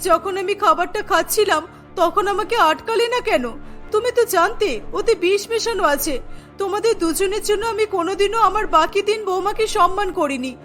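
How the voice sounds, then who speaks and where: Woman as a very high-pitched stern mother-in-law. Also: normal speed, a bit bright, faint music behind. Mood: angry accusing. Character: older stern mother-in-law, domineering and accusing. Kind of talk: cartoon dialogue.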